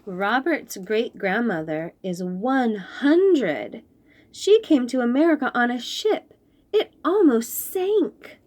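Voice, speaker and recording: A young woman reading a children's picture book aloud, slowly and clearly explaining words. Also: a little high. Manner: slow, clear and expressive, explaining fancy words.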